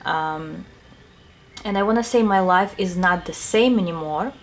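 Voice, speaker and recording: A woman with a Russian accent, a tarot reader answering her viewers' questions in a soft, unhurried casual talk full of small fillers. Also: on the low side, a little hiss or hum.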